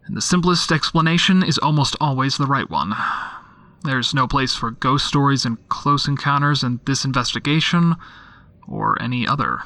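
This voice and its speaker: An actor playing a jaded, sceptical male homicide detective in an audio drama, dictating case notes in a dry, deadpan, irritable manner. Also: mid-range voice, normal speed. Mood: stern, impatient.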